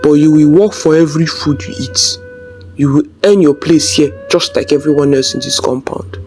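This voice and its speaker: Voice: mid-range voice, steady tone, normal speed, a little mellow, soft background music, close to an everyday voice. Narrator voicing a cold, domineering old grandfather. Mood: angry. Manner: curt, commanding, contemptuous.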